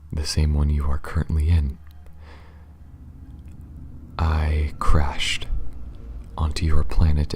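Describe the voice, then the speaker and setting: A man playing a gentle, apologetic alien pilot in an ASMR audio roleplay, speaking softly, often near a whisper, in a careful, halting, formal and reassuring way. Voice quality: soft, very low, breathy, often near whisper. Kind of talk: ASMR audio roleplay, scripted monologue addressed to listener.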